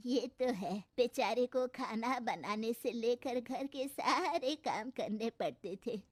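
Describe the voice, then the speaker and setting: A woman's voice: fairly high pitch, slow pace. A woman voicing an old, disapproving mother-in-law in a cartoon family drama, scolding and grumbling on behalf of her grandchild. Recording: loud background noise.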